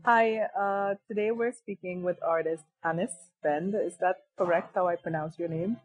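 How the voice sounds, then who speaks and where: A woman's voice: fairly low pitch, moderate pace, narrow, tinny audio. A woman hosting an interview podcast, talking reflectively with fillers and asking questions in an upbeat way. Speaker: podcast host. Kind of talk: interview host chat.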